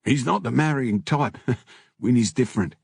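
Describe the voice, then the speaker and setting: A man voicing a matey, blunt male biker in an audiobook, talking in rough slang. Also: medium pitch, expressive, moderate pace, no room sound, clean studio sound. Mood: guarded, casual. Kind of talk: audiobook character dialogue.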